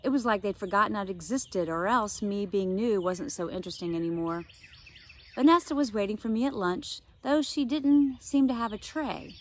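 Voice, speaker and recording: A woman's voice: medium pitch, animated, normal speed. A woman teacher expressively reading a children's novel aloud, adding casual asides for her listeners.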